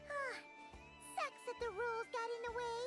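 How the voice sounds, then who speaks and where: A woman voicing a tiny floating female fairy companion who is excitable and talks about herself in the third person, in video game dialogue. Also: very high-pitched, normal speed. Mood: boastful. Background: game music.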